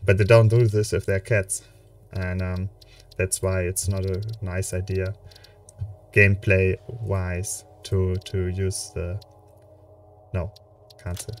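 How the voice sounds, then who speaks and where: A man's voice: sits low, level tone, slow pace, long pauses, recorded from a distance, slight background noise. A young man with a German accent, casually commenting on a game he plays, full of hesitant fillers and restarts. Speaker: let's play streamer. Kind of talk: relaxed gameplay commentary with chat.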